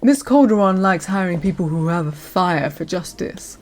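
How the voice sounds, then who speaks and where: A woman playing a courteous senior lawyer in an audio roleplay, speaking in a polite, measured, professional way to the listener. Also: lowish voice, expressive, lightly acted. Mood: polite, businesslike. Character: courteous senior female lawyer showing a newcomer around.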